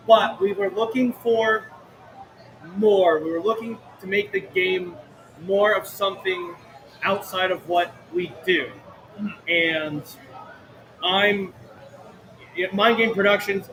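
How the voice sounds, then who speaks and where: A slightly hoarse man from Florida co-hosting a casual livestream, joking quickly. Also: sits high, steady tone, fairly bright tone, low-quality recording. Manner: jokey, quick-witted. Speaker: co-host. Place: outdoor event. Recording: clear background event noise.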